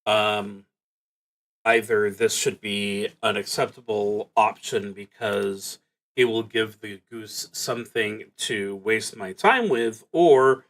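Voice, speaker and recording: A man streaming a solo tabletop roleplaying game, thinking aloud in a halting, reflective way as he works through his moves. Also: lowish voice, a bit bright.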